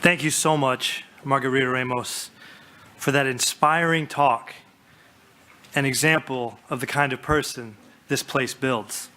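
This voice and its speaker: Bright-toned dean introducing speakers. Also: male voice, medium pitch, fairly even intonation, a little slow. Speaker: college dean, ceremony host. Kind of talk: formal ceremony introduction.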